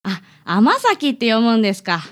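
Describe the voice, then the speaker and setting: Brief: woman as a courteous, formal club leader, slightly raised pitch, radio drama. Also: big pitch swings, normal speed, fairly bright tone. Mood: earnest. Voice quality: polite, formal. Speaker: visiting client in a radio drama.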